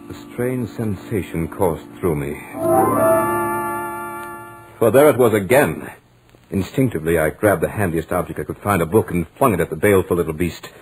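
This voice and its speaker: Deep voiced 1940s radio horror guy, actor playing it, gentleman lodger telling his spooky story, dramatic pauses, faint music behind. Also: natural voice, poor audio. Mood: uneasy, suspenseful. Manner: dramatic, deliberate storyteller with suspenseful emphasis. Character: scholarly gentleman lodger narrating his haunted-house ordeal, Mister Lane. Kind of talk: 1940s radio horror acting, first-person narration.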